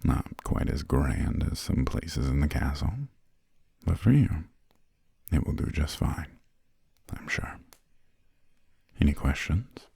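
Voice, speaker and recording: A man playing a refined, imperious vampire lord in an ASMR roleplay, speaking in a hushed, formal, slightly haughty way with a breathy, gravelly low voice. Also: animated, takes it slowly, pauses often, no room sound, clean studio sound, exaggerated voice. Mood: politely aloof. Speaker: employer briefing a new assistant.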